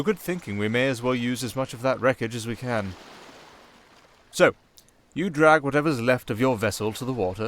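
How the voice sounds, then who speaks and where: A man with a British accent playing a sardonic, softly dangerous male siren in an ASMR roleplay, with dry, theatrical sarcasm. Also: mid-range voice, close to an everyday voice. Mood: wry, reluctantly helpful.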